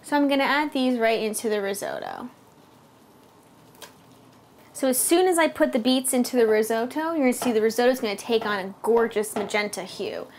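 A woman's voice: a little high, brisk, a little hiss or hum. A woman in her thirties with an American accent, demonstrating a recipe to camera step by step as a cooking host. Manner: clear step-by-step explaining. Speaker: cooking host, food blogger. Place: home kitchen, indoors.

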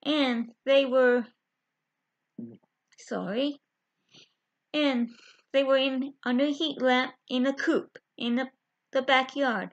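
A young woman chatting to her webcam from a small bedroom, halting, with many fillers and restarts. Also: sits high, steady tone. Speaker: vlogger. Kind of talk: casual chat to camera. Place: small bedroom with window blinds. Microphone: webcam at close range. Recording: dull lo-fi webcam audio.

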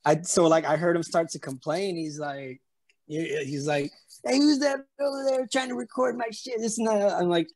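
A man's voice: sits high, moderate pace, a little mellow. A man from San Antonio with a slight Spanish-tinged Texan accent, telling a story as an interview guest over a video call, rambling with lots of fillers and restarts. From San Antonio, Texas. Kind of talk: casual storytelling chat. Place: room at home. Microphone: webcam on a video call.

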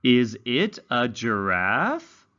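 A man's voice: mid-range voice, very animated, drawn-out words, sharp tone, highs cut off. A man teaching young children in a read-along lesson, enunciating carefully with exaggerated, instructional intonation. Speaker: English teacher for young learners.

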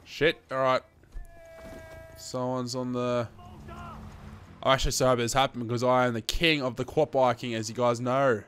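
A man's voice: mid-range voice, level tone, moderate pace, long pauses, a bit bright, slight background noise. Young Australian man, sniffly gaming commentator. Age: 20s. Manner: sweary, chatty, addressing viewers as guys. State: sniffly from hay fever. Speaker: gaming video host. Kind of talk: casual gameplay commentary.

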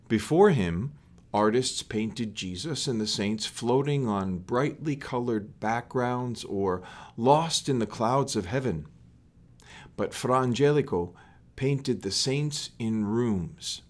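Middle-aged male storyteller, mid-range voice, slow deliberate read-aloud for children. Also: medium pitch. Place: small plain room indoors.

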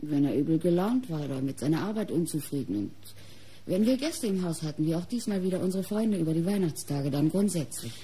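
A mocking, embittered wife in a radio mystery. Her voice is breathy, dark and on the low side. She speaks theatrically, with measured, cutting phrasing. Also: animated. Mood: strained, shaken. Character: bitter, mocking wife of a painter. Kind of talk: German radio crime drama acting.

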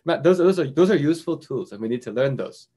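A man in his thirties giving an animated online keynote lecture over a video call, explaining step by step. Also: fairly high pitch, quick pace, husky, highs cut off. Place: indoors in front of a projected slide screen.

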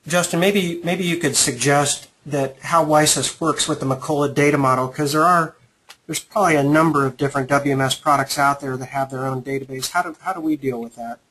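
A man's voice: a little high, steady tone, fairly quick, fairly bright tone, a little hiss or hum, rough recording. A man moderating a webinar, relaying audience questions to the presenter.

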